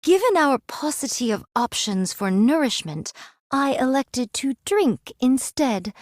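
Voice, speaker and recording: A proud android swordswoman speaks in a fairly high voice. Her phrasing is old-fashioned, formal and haughty, with a weary edge. It is game drama acting in a very dry recording. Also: big pitch swings, measured pace, a little mellow, no echo. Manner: formal, archaic, haughty diction.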